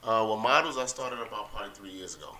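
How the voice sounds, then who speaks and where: A man, a photographer guest, chatting in a laid-back way with quick interjections. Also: fairly low pitch, expressive, crowd noise. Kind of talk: casual chat.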